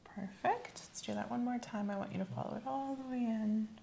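A woman plays a medical examiner in a soft-spoken ASMR roleplay. Her voice has noticeable fry and often slips toward a whisper, delivered in a gentle, measured, clinical way, with faint noise behind it. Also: on the high side. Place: small indoor room set up as an exam office.

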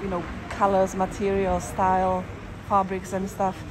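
A chatty woman talks to her viewers while walking, thinking aloud and restarting her sentences. Her voice sits in a middling register. Loud traffic and street noise fill the background. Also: medium pitch, monotone, measured pace. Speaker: walking tour vlogger. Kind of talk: casual walk-and-talk commentary. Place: busy shopping street outdoors.

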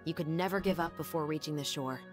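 A woman voicing a stoic young patrol captain in a game, speaking in a calm, measured, reserved way. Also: low-pitched, lightly acted. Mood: solemn. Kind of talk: anime game dub. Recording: game audio under music.

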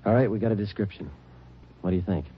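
An actor playing a terse, matter-of-fact police detective, deadpan, in clipped old radio drama style on an old radio recording. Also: male voice, fairly low pitch, fast, lo-fi sound, slight background noise, natural voice. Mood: businesslike. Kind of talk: 1940s-50s radio acting, clipped narration.

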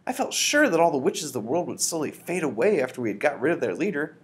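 Storybook role: a man reading as an eager, frightened young boy-mouse, high and pleading, lively pitch. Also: fast talker, slightly rough, full character voice. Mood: anxious, pleading. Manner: high, urgent, exclaiming.

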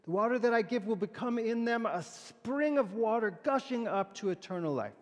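A middle-aged man, a pastor giving a sermon and readings in a gentle, halting, informal way. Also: high voice, lively intonation. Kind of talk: sermon with poem and scripture readings, conversational. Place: large church sanctuary, indoors, at a pulpit. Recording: echoey church hall, long reverb.

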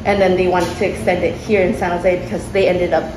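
Low-voiced woman, chatty, echoey restaurant. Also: little pitch movement, quick pace. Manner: chatty, laughs often, restarts sentences. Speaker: restaurant owner. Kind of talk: casual interview. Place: sushi restaurant. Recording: echoey room with background noise.

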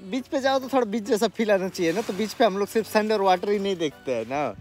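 A man's voice: high-pitched, lively intonation, quick pace, a little mellow, soft background music. A man in his thirties chatting casually to a handheld camera outdoors at a campsite and a beach, laughing often and mixing in English. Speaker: family vlogger. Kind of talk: casual vlog narration. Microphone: handheld camera at arm's length.

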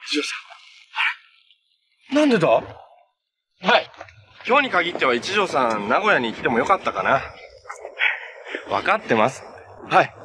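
A man's voice: a little high, sing-song, moderate pace, long pauses. An actor playing an easygoing, kind young male hero in a TV superhero drama, speaking in a friendly, earnest, casual way. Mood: concerned. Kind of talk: naturalistic Japanese TV drama acting. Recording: TV drama audio with noticeable background noise.

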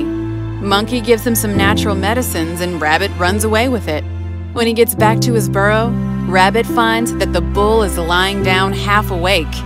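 A woman narrates a children's story in a clear, even way. Her voice sits in a middle pitch, and loud music plays behind her throughout.

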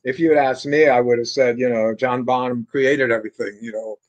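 An older man from France, a guitarist guest, telling stories in a relaxed, rambling way with many fillers and restarts, speaking over a video call from a room lined with bookshelves. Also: mid-range voice, brisk, lo-fi sound. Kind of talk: casual interview storytelling.

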